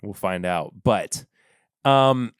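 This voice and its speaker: A man hosting his own podcast, talking casually through his picks, with lots of fillers and restarts and a slightly hoarse voice. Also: on the low side, drawn-out words, no room sound, clean studio sound. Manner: relaxed, rambling, many fillers and restarts. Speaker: podcast host. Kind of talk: casual solo review talk.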